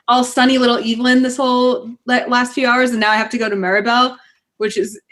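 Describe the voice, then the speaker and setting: Upbeat woman gamer, high and bright, raring to go. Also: level tone, fast talker. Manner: eager and upbeat, talks with her hands. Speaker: tabletop game player. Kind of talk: casual chat on a live stream.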